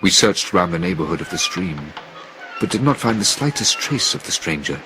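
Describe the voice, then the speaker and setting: A man narrates an adventure story in a fairly low voice. His delivery is theatrical and suspenseful, with carefully measured phrases. Music plays clearly behind him. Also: big pitch swings, low-quality recording. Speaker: audiobook narrator. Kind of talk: dramatic first-person story narration. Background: music under the voice.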